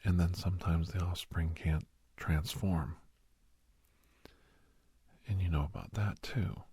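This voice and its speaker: A man playing a kindly doctor in an ASMR roleplay, speaking in a hushed, breathy whisper with pauses. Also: deep voice, steady tone, no room sound, heavily acted. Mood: reassuring, confiding. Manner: hushed, unhurried, with pauses and soft chuckles. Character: kindly doctor advising a young werewolf, old family friend.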